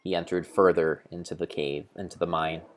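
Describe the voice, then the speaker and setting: A man giving a grave, theatrical, storybook-style narration of video game play, in deliberate phrases with pauses. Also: deep voice, fairly even intonation, faint music behind. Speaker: streamer narrating his gameplay.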